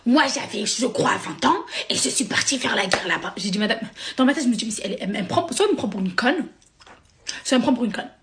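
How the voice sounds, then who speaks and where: A young woman from France telling a sarcastic, animated story straight to her viewers. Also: a little high, fast talker, noisy background. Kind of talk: casual storytime monologue.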